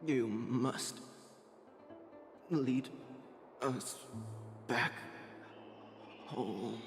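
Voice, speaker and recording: A dying warrior, speaks extremely slowly in a weak, proud, halting way. The voice rings in a long cavernous reverb, with loud music underneath, like a dramatic game death scene. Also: male voice, medium pitch. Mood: fading, tender. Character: dying loyal warrior friend of the king.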